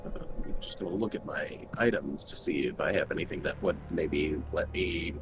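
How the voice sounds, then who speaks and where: Male tabletop player, low voice, casual chat, faint noise in the background. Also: moderate pace. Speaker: player in a tabletop roleplaying game.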